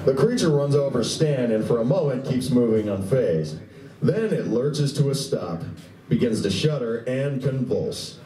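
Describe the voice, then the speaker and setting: A man's voice: medium pitch, animated, a little slow, mellow, distant mic. A man giving dramatic scripted narration for a live radio play. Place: live stage reading at a convention. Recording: echoey room, picked up from afar.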